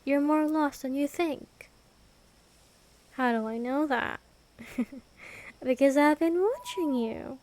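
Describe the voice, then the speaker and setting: A woman acts out a female vampire queen in an intimate ASMR roleplay. Her voice sits high and moves very slowly, each phrase deliberate. She is imperious and teasing, a predator who is possessively protective. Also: a little mellow. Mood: cool, teasing.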